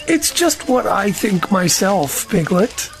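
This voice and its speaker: A woman playing a slow, dreamy, honey-loving bear in an audio drama, musing and ponderous. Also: medium pitch, very animated, soft background music. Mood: pondering.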